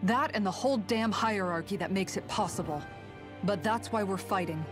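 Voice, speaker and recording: A woman's voice: medium pitch, moderate pace, over music, natural voice. An actress playing a firm, blunt yet compassionate young warrior woman, earnest and direct, in a dramatic video game.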